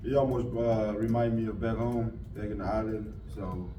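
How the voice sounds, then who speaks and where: A young man from Tonga, a college football player, giving relaxed, plain answers with fillers at a press conference. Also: on the low side, normal speed. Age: young adult. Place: press room. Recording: echoey room, distant mic, muffled, noisy.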